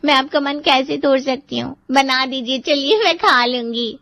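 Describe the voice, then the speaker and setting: A woman playing a high-voiced, wheedling young bride-to-be. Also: moderate pace, bright, forceful tone, dry recording. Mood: coaxing, cheerful. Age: young adult. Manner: pleading, sweetly manipulative. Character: wheedling, spoiled young bride-to-be, pampered little sister. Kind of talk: Hindi family drama acting for story video.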